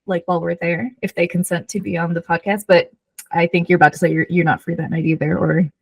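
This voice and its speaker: A woman's voice: fairly low pitch, flat delivery, quick pace, husky, no echo. A woman co-hosting a casual book podcast, talking informally with plenty of conversational "like". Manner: informal, conversational, heavy use of "like". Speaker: podcast co-host. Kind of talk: casual book chat between friends.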